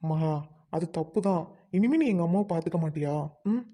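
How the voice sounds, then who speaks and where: Woman voicing a calm, caring young husband. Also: on the low side, moderate pace. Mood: calm, reassuring. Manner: calm, reassuring, mediating.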